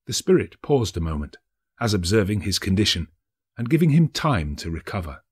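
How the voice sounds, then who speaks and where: Husky, expressive male storyteller. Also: lowish voice. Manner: theatrical, deliberate. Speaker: narrator, actor. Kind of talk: dramatic audiobook narration of a classic ghost story.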